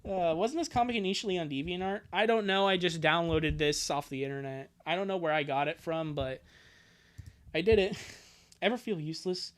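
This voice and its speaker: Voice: mid-range voice, a little fast. A livestreamer with a mid-range voice reads fan fiction aloud and comments on it. The delivery is sarcastic and exasperated, with crude joking asides. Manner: sarcastic, exasperated, breaks into outbursts. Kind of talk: live comic reading with joking commentary.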